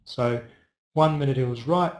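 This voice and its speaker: A man's voice: medium pitch, normal speed. A man hosting a casual live trading webinar, chatting loosely and answering viewers by first name. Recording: roomy echo.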